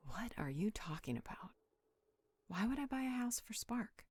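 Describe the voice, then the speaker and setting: A woman playing a sharp-tongued, exasperated vampire healer in a roleplay audio drama, cutting and incredulous. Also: fairly high pitch, very animated, normal speed, husky, dry recording.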